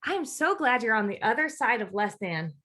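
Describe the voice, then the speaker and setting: Animated, bright-voiced woman hosting a podcast. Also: fairly high pitch, moderate pace. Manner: animated, exclamatory, acts out quoted reactions. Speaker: podcast host.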